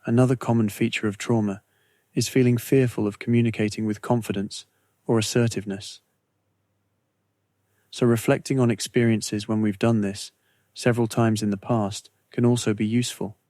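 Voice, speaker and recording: A man with a fairly low voice leads a guided meditation. His intonation stays very flat and steady, and he sets out each phrase deliberately, with soothing pauses. The recording is dry and close, free of room sound. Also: dry recording. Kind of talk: guided meditation, scripted narration.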